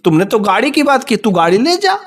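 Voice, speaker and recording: A man's voice: very high voice, very animated, a little fast, a bit bright, voice pushed up, exaggerated voice. A man voicing a crafty, vengeful young farmer's son in a folk story, stubbornly repeating his demands. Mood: insistent, threatening. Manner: insistent, repetitive demanding.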